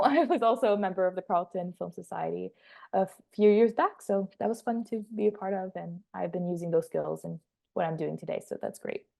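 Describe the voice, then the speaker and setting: Woman, twenties, French Canadian accent, warm tone, casual student talk, chatty with fillers. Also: mid-range voice. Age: 20s. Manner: chatty, many fillers and restarts, light laughs. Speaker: guest speaker, assistant editor.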